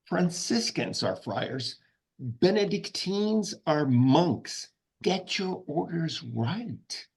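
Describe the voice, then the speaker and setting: A stern, pompous monk in a comedy table read acted by a man, his voice husky and full of fry. He lectures slowly and disapprovingly, guarding the old ways of his order. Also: on the high side, very animated, full character voice. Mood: haughty. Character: pompous, stern traditionalist male monk, scolding.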